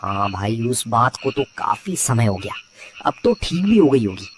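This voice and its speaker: A man's voice: mid-range voice, very animated, moderate pace, no room sound, natural voice. A man playing an easygoing, friendly village man in a cartoon story. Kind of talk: dubbed cartoon story dialogue.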